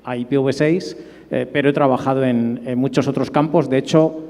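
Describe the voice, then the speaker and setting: A mellow, dark-toned middle-aged man with an accent from Spain. He shares recollections informally as a panelist, his voice carrying a long hall reverb. The pitch is ordinary for a man. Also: fairly even intonation, moderate pace. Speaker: conference panelist.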